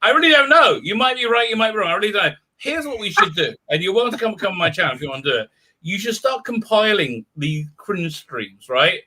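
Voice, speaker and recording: A man hosting a casual livestream, excitable, interrupting with lots of stammered fillers. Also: high-pitched, fast, low-quality recording. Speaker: livestream host. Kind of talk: casual chat.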